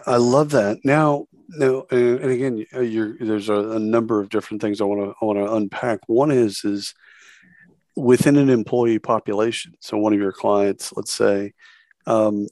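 A man hosting a podcast interview, energetic and repeating words as he thinks aloud. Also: on the low side, measured pace, dry recording. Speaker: podcast host.

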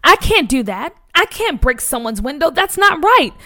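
A woman voicing a frightened but honest young boy who pleads in a high, strained voice. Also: big pitch swings, normal speed, sharp tone, no echo, clean studio sound, heavily acted. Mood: pleading.

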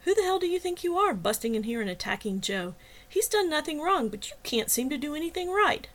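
A woman's voice: medium pitch, expressive, normal speed, a bit bright, natural voice. A woman acting out an indignant, heated audiobook heroine. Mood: outraged. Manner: heated, clipped, challenging. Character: indignant woman narrator-heroine, standing her ground. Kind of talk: dramatized audiobook dialogue.

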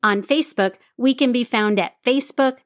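A woman's voice: fairly high pitch, moderate pace, no room sound, clean studio sound. A woman hosting a podcast, reading scripted narration with chatty, enthusiastic asides to her listeners. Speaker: podcast host.

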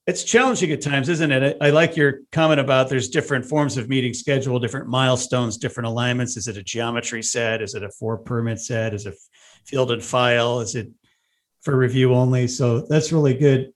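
American man, interview host, mid-pitched voice, casual, chatty, thinking out loud. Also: fairly even intonation, brisk.

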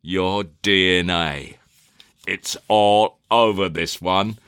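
A man playing a cool, probing interrogator in a theatrical drama, questioning in a formal, deliberate, insinuating way. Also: deep voice, steady tone, fairly bright tone, clear, resonant tone, dry recording, studio quality, full character voice. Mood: accusing.

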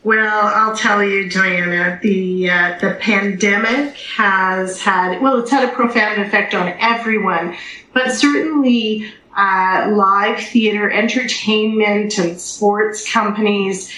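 A woman's voice: mid-range voice, takes it slowly, pushed and bright, roomy sound, slight background noise, poor audio. A woman giving conversational interview answers as a guest over a video call. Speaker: theatre company executive director, interview guest.

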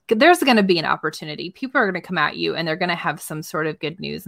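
A woman's voice: sits low, gravelly, sharp tone, dry recording, clean studio sound. A woman co-hosting a talk show, giving casual conversational advice in an agreeable, encouraging way.

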